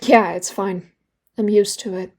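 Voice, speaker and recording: A young woman playing a guilt-ridden, wry and bitter therapy patient in an audio drama, speaking candidly and haltingly. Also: medium pitch, steady tone, darkish tone. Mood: guarded. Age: young adult. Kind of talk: naturalistic audio drama dialogue.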